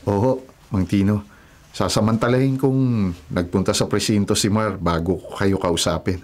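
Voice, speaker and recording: A man's voice: lowish voice, moderate pace, lightly acted. A man playing an uneasy, respectful family friend, earnest and a little hesitant, in a Filipino radio drama. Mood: polite, serious.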